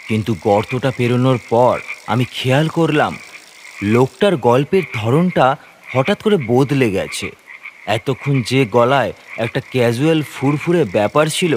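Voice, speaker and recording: A man giving dramatic, suspenseful first-person narration of a scripted horror story. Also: medium pitch. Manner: theatrical, suspenseful delivery. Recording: faint ambient noise, croaking frogs behind.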